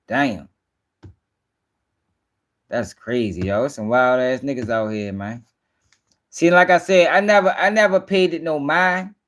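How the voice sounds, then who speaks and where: A man's voice: medium pitch, a little slow, long pauses, fairly bright tone. A man hosting a casual livestream, commenting bluntly in slang with swearing and repeating himself for emphasis. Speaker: host.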